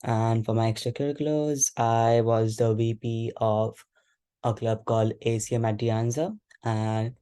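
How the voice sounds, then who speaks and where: A young man gives a brief, matter-of-fact introduction on a student panel. His voice is mellow and fairly flat. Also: mid-range voice, measured pace, clean tone. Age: young adult.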